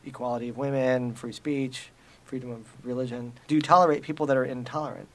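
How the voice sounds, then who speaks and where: A man's voice: medium pitch, steady tone. A man co-hosting a podcast, rambling through his points with many fillers like "you know" and "like". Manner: rapid, rambling, full of fillers. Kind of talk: casual discussion.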